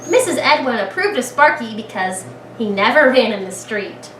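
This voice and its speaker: High, animated woman reading a children's story. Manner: animated, dramatic pauses, thinking aloud. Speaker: kindergarten teacher reading a picture book aloud. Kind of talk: expressive children's story read-aloud with questions to listeners. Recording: echoey room, distant mic, clear background noise.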